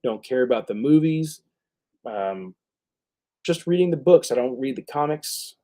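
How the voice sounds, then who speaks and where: Male live stream host, dark relaxed tone, casual rambling chat with halting, word-searching delivery. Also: medium pitch.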